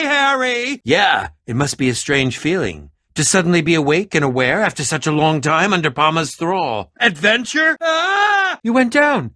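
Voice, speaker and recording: An eccentric game adventurer, high and bright in voice. He swoops wildly in pitch, fussing over words with theatrical relish. The delivery is excitable and dramatic. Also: no room sound, clean studio sound, heavily acted. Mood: excited. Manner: theatrical, fussy, dramatic emphasis with sudden swoops. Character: eccentric, excitable male adventurer and potion maker. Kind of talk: animated video game character acting.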